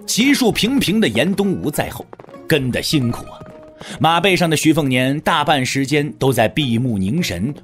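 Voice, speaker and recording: A man narrating a wuxia audio drama as a scripted, dramatic storyteller. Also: mid-range voice. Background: faint music.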